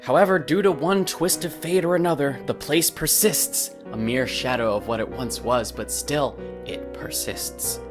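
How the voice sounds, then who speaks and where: A man voices a hotel clerk for a visual novel. He has a mid-pitched voice and a theatrical, long-winded, affable way of talking. Faint music sits underneath. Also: a little slow, few pauses, lightly acted. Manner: wordy, dramatic, chatty.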